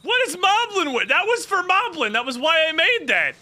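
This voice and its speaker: A man's voice: extremely high pitch, big pitch swings, normal speed, bright, forceful tone. A man giving casual live commentary as he plays a game, muttering to himself and swearing freely. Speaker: game streamer.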